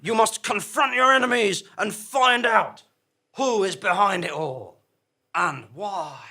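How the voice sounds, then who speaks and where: Chatty otherworldly rebel guide, played by a man. High, bright voice, mock-grand, sci-fi radio comedy. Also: takes it slowly, exaggerated voice. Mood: mock-solemn. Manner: mock-portentous, breaking into casual asides. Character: chatty, theatrical rebel guide from an alternate dimension. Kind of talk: comic radio-play acting with asides.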